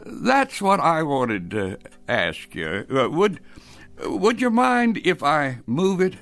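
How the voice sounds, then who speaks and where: A man's voice: a little high, sing-song, slow pace, a bit bright, soft background music, exaggerated voice. A man playing a frail, anxious old grandfather in a radio drama, hesitant and stammering in a fussy way. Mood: evasive, gentle. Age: older. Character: frail, anxious elderly grandfather obsessed with his clock.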